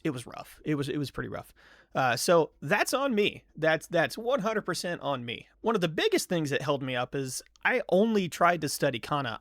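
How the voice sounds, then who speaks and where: A man's voice: a little high, vocal fry, a bit bright, no echo, clean studio sound. A young man talking candidly to camera in a casual vlog, self-deprecating and rambling, often restarting his sentences. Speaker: vlogger.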